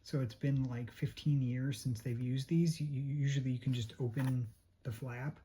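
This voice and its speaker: A hoarse-voiced vlogger talking casually and a bit hesitantly through a product unboxing. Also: medium pitch, steady tone, normal speed, dark tone. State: hoarse, losing voice. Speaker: unboxing video host.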